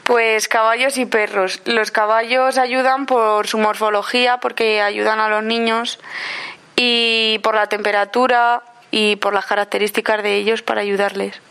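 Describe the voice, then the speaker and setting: A woman's voice: a little high, little pitch movement, unhurried, fairly bright tone, clean tone. A young adult woman from Cuenca explaining things plainly.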